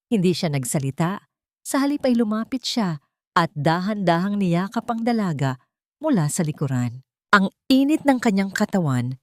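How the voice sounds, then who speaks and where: A woman's voice: on the low side, a little mellow, no room sound, clean studio sound. A woman reading a scripted dramatic story as an audiobook narrator.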